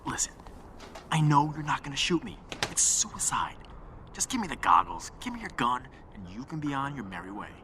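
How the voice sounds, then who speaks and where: A man's voice: medium pitch, sing-song, normal speed. An actor playing a menacing scavenger man in an audio drama, threatening and taunting as he robs survivors. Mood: coaxing threat. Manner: threatening, taunting, foul-mouthed. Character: menacing male scavenger robbing survivors for their gear. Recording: noisy scene, clearly audible background ambience.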